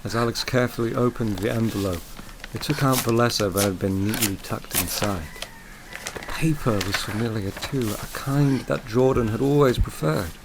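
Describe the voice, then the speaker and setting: A man narrating a scripted audio drama as a solemn, gentle storyteller, measured, with dramatic pauses. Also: mid-range voice, gravelly, slight background noise, natural voice, low-quality recording. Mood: hushed suspense. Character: solemn, gentle male storyteller of a grief tale.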